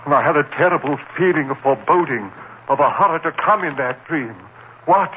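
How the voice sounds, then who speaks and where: A man playing a terrified condemned prisoner recounting his nightmare in halting, anguished phrases, in the style of 1940s radio horror. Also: fairly high pitch, bright, forceful tone, highs cut off. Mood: dread. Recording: noisy, band-limited old radio recording.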